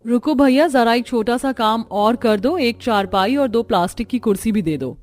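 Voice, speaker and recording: A woman's voice: on the high side, normal speed, a little mellow, dry recording, clean studio sound, close to an everyday voice. Woman playing a haughty, boastful cartoon mother. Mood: boastful, bossy. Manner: showy, imperious. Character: boastful, haughty mother, scornful of her stepdaughter.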